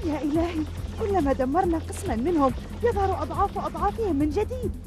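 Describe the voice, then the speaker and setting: An actress playing a fiery, distrustful young woman fighter with a sharp, challenging manner in a dubbed cartoon. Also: very high voice, animated, fast. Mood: urgent, indignant. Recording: loud music behind the voice.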